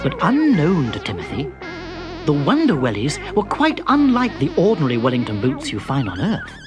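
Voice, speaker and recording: A man telling a children's story with a sing-song, lively voice that swoops up and down. Music plays clearly behind him throughout. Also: on the high side, moderate pace. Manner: lively, expressive narration. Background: background music.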